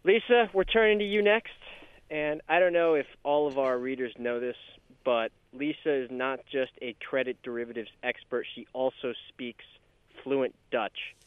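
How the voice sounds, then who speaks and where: Man, podcast host, upbeat, teasing, smooth voice, tinny phone-like sound. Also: medium pitch, moderate pace, dry recording. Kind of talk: casual podcast hosting.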